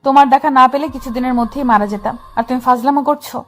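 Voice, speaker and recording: An actress plays a possessive young woman hopelessly in love in an audio romance. She speaks in a high voice with a demanding, scolding edge. Music runs faintly underneath. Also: fairly even intonation, fast, a bit bright. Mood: hurt pleading. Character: bossy, possessive, lovesick senior girlfriend, young woman.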